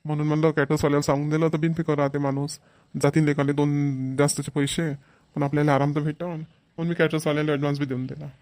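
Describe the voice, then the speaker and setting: Man playing very fast, even-toned village father. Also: on the high side, dry recording, close to an everyday voice. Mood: practical, relieved. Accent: Vidarbha Marathi. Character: practical village father, easygoing husband arranging family engagement. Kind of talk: amateur cartoon comedy dialogue.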